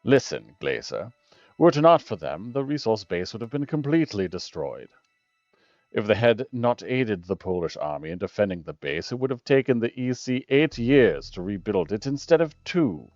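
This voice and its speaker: A man voicing a measured, argumentative male council official, formal and reasoning, in a political story game. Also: fairly low pitch, sing-song, darkish tone, no echo. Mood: measured objection. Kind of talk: dramatic reading of story game dialogue. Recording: dull lo-fi audio with music behind.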